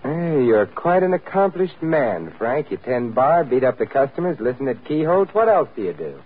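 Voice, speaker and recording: An actor playing a suave, wisecracking, tough but gallant adventurer in a 1940s radio drama, with dry, wry banter. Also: male voice, medium pitch, sing-song, normal speed, close to an everyday voice. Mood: taunting. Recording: muffled, narrow, noisy old radio recording.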